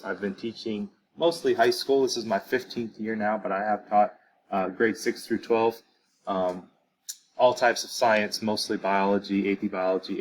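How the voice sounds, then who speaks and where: Relaxed low-voiced male teacher. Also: a little hiss or hum, rough recording. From grew up on US military bases in Germany. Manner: relaxed, many ums and uhs. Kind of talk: casual recorded class introduction.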